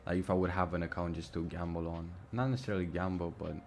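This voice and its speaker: A man's voice: sits low, steady tone, fast, background noise. A young man with a Romanian accent chatting casually through a live trading stream, thinking aloud and swearing freely. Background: music and mouse clicks.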